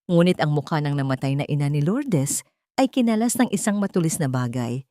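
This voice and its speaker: A woman reading a melodramatic audiobook story in a measured, dramatic storytelling manner. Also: deep voice, animated, mellow, no room sound, clean studio sound. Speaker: narrator. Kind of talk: scripted audiobook narration.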